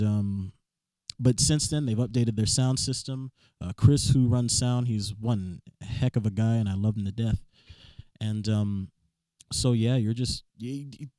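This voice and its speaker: A young man with a raspy voice, a musician chatting as a guest, often restarting his sentences. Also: medium pitch, darkish tone, no echo. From Southern California. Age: young adult. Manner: rambling, stammers on restarts. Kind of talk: casual interview chat.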